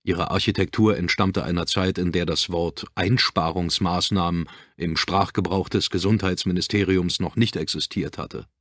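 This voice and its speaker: Deep-voiced male audiobook narrator. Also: fairly even intonation, normal speed, hardly any pauses, a bit bright, no echo, clean studio sound. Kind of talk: scripted narration of a suspense thriller. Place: dry studio.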